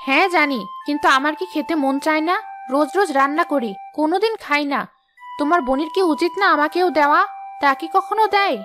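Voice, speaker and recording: A woman voicing a resentful, sharp-tongued young daughter-in-law in a cartoon moral story, complaining and defiant. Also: sits high, fast, faint music behind. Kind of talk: animated moral story voice acting.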